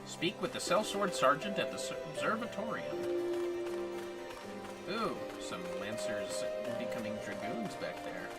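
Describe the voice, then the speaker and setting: A man's voice: mid-range voice, very animated, unhurried. A man livestreaming a video game, chatting casually with his play partner over game music. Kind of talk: casual co-op gaming chat.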